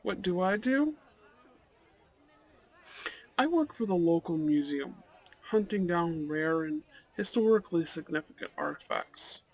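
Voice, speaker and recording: Shy, courteous goth boyfriend type played by a man, creaky and unhurried, romantic audio roleplay with faint chatter behind. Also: high voice, lively intonation, exaggerated voice, low-quality recording. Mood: friendly, enthusiastic. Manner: hesitant, soft-hearted, nervous little laughs. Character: shy, polite, dorky young goth man on a blind date.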